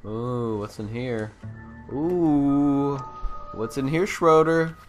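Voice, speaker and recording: Male gamer for walkthrough narration: unhurried, playful, slangy, mid-pitched, game music behind him. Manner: playful, slangy, swearing, exclaiming. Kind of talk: casual gameplay commentary. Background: game music and vehicle sounds.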